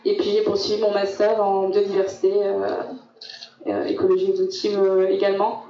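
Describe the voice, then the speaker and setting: Young woman from french guiana talking through her studies, flat mellow voice, roomy and far. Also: medium pitch, fairly quick. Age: young adult. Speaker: master's student. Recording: dull roomy sound from far off.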